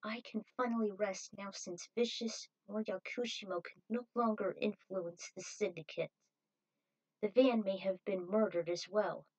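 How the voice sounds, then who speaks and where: A woman's voice: medium pitch, steady tone, a little slow, darkish tone, close to an everyday voice, rough recording. Woman reading as solemn fatherly mentor spirit. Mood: solemn urging. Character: fatherly spirit of a dead male mentor, urging.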